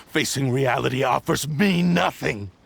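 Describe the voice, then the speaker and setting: Gravelly, slow, haughty cursed blade. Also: male voice, medium pitch, heavily acted. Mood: bitter, menacing. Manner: haughty, menacing, formal diction. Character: cursed sentient sword, proud, bitter, obsessive villain. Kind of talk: dramatic video game voice acting. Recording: background music.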